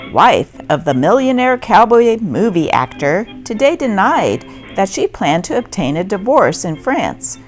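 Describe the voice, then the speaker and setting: A woman narrator reading old newspaper stories aloud in a theatrical, scripted style. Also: fairly low pitch.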